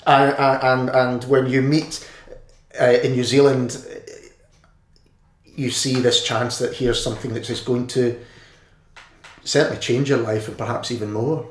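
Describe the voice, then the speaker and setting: A man's voice: medium pitch, level tone, unhurried, pauses often. Enthusiastic male interviewer. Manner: enthusiastic, rambling questions. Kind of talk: interview conversation. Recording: echoey room, faint noise.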